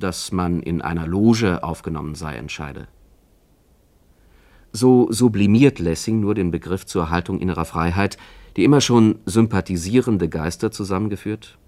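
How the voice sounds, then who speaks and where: A man narrating a scripted literary essay in a formal, measured manner. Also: on the low side, darkish tone. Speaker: narrator, commentator.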